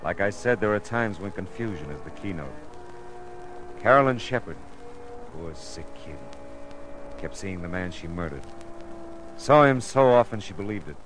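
An actor playing a world-weary American private detective in an old radio drama, laconic, wry and deadpan. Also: male voice, low voice, normal speed, long pauses, music in the background. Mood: wry, brooding. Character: hard-boiled world-weary private detective, grown man. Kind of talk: 1950s American radio drama acting and narration. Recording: noisy old radio broadcast recording.

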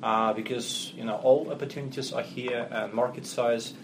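A man's voice: mid-range voice, fairly even intonation, normal speed. Russian-accented man, CEO guest, fluent but foreign phrasing, noisy recording. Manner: fluent but non-native phrasing, businesslike, frequent fillers. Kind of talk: podcast interview.

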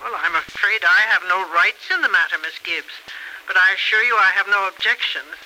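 A woman's voice: medium pitch, a little fast. An actress playing a genteel grandmother with effusive, well-mannered phrasing in a radio soap opera in the style of the 1940s. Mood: resigned, helpful. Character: anxious, grateful grandmother, well-mannered, resigned about her daughter. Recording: old noisy radio recording, sharp sound.

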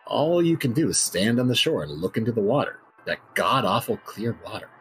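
A man's voice: medium pitch, very animated, a little fast, a bit bright, soft background music, low-quality recording. A man playing an unnerved, obsessed interview subject in a dramatic reading, anxious and emphatic. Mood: overwhelmed unease.

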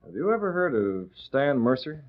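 A firm newspaper chief. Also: male voice, mid-range voice, sing-song, normal speed. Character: calm, authoritative male newspaper publisher. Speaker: newspaper publisher. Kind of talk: 1940s radio acting. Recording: tinny old radio recording.

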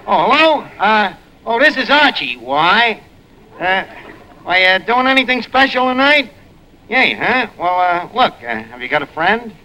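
An actor playing a brash, lowbrow tavern manager in a 1940s radio comedy, with a New York accent and mangled street grammar. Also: male voice, very animated, measured pace, bright, forceful tone, exaggerated voice. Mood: brash, coaxing. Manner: ungrammatical street talk with malapropisms. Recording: noisy old radio recording.

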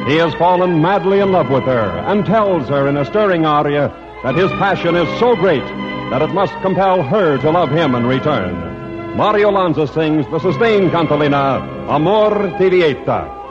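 Man, American accent, vintage radio announcer, lively intonation, theatrical and formal, lo-fi sound, prominent music behind. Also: on the high side, normal speed. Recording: band-limited vintage radio broadcast with music. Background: loud music.

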